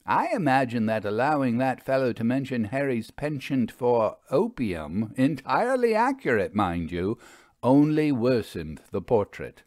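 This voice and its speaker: A man's voice: mid-range voice, very animated, a little slow, darkish tone, no room sound, studio quality. A man voicing a spirited, opinionated older woman who investigates ghosts, speaking with theatrical emphasis in a story reading. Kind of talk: audiobook character dialogue.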